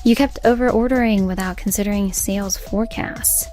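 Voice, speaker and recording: A woman's voice: mid-range voice, steady tone, fairly quick, warm tone, soft background music, close to an everyday voice. A woman voicing an earnest, assertive young female store manager in a comic dub, speaking emphatically. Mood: firm, challenging. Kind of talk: dubbed comic voice acting.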